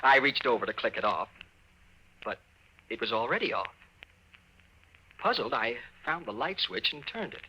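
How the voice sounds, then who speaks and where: A man's voice: medium pitch, expressive, normal speed, long pauses, sharp tone, husky, exaggerated voice, rough recording. An actor playing a desperate young everyman, a man telling his own story dramatically in 1950s radio style. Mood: tense, puzzled. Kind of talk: 1950s radio narration acting. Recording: old 1950s radio recording.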